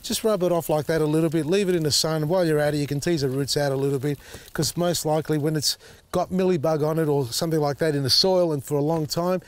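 A man, slightly husky as his voice recovers, chatting casually while demonstrating repotting outdoors in his garden. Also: fairly high pitch, steady tone, fast talker. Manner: chatty, thinks out loud, many fillers. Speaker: gardening show host. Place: outdoor garden beside a tall hedge.

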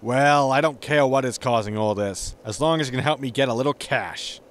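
A man's voice: medium pitch, normal speed, natural voice. An actor playing a grumbling, money-minded, sarcastic spaceship crewman in a sci-fi sitcom audio drama. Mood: sardonic. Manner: sarcastic, exasperated. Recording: roomy echo with noisy ambience.

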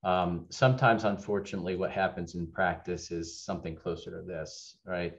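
A middle-aged man with a very low voice speaks over a webcam from an office. He talks in a candid, conversational way, pausing on fillers and restarting phrases. The call audio is dull and lo-fi. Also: fairly even intonation. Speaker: keynote speaker, legal executive. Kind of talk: webinar keynote presentation. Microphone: webcam on video call.

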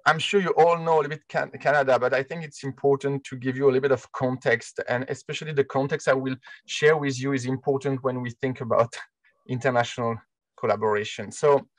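A man from France with a clear French accent gives a talk over a video call. His delivery is hesitant and full of "uh" and "um". His voice sits at a middle pitch and sounds dry and close through his headset. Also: steady tone, a little fast, no room sound. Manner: hesitant, many "uh" fillers. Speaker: arts council presenter. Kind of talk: online presentation.

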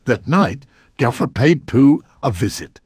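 A man narrating a children's story in an expressive read-aloud style. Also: medium pitch, measured pace, husky, no echo, studio quality.

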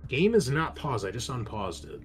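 A man narrating casually as game master, improvising in a halting way. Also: mid-range voice, big pitch swings.

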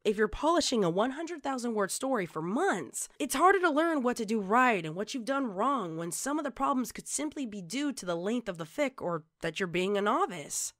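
A woman reading scripted tutorial narration in a measured, instructional way, like an online narrator presenting tips. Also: fairly high pitch, sing-song, fairly bright tone, no room sound, clean studio sound.

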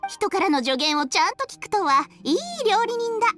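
Video game snake: haughty female, sharp-tongued and condescending, very high, swooping delivery. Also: lively intonation, a little fast, music in the background. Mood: haughty, amused. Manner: condescending, blunt. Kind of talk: Japanese game voice acting.

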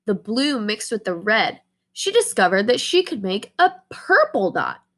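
Animated young woman reading aloud. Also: medium pitch, measured pace. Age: young adult. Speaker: read-aloud host. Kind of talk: picture-book read-aloud. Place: small indoor room.

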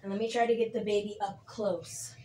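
A woman with a raspy voice, a little sick and clearing her throat, chatting casually behind a phone camera in a room, with lots of ums and likes. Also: medium pitch, fairly even intonation, fairly quick, background noise. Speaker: pet channel host. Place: small room indoors. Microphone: handheld phone, mostly behind the camera.

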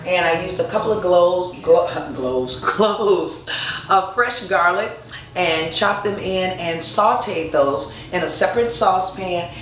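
A woman's voice: fairly low pitch, measured pace, slight background noise. A middle-aged woman giving a casual, encouraging cooking demonstration in her home kitchen, chatty and prone to restarts. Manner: chatty, encouraging, with frequent restarts and light laughs. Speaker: cooking instructor and nutritionist. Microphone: far camera. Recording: echoey kitchen from a distance.

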